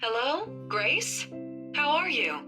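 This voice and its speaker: A woman's voice: sits high, sing-song, slow pace, full character voice. An actress playing a caring middle-aged mother in a visual novel game, with a tired lilt. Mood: fond, weary. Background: game music.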